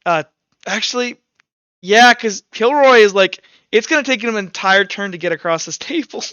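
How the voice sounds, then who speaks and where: A man with a high, crisp voice runs a tabletop game as its game master. He narrates informally and haltingly, with some animation. The recording is dry and slightly dull. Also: lively intonation, pushed and bright, dry recording.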